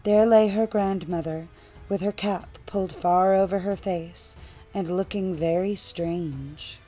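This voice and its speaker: A woman reads a fairytale as an audiobook narrator. Her telling is measured and expressive, with faint music underneath. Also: fairly low pitch.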